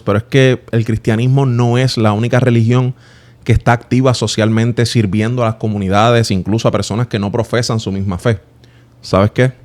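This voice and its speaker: A man's voice: medium pitch, a little mellow. A man from Puerto Rico in his thirties with a Puerto Rican accent, hosting his own channel and earnestly explaining his reasons in a personal monologue that speaks straight to the viewer. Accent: Puerto Rican Spanish.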